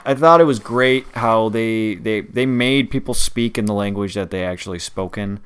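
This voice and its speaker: A man's voice: lowish voice, fairly bright tone, smooth. A man with a slight Wisconsin accent, co-hosting a podcast in casual chat with many restarts. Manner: conversational, halting with restarts. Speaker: podcast co-host.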